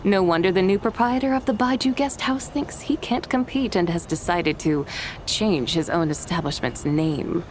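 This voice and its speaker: A woman's voice: fairly low pitch, normal speed, darkish tone, slight background noise, natural voice. Woman as a lofty, whimsical ancient horse immortal. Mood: lofty, approving. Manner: lofty, theatrical, poetic archaic phrasing. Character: ancient proud whimsical female horse adeptus, immortal spirit. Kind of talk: video game character dialogue.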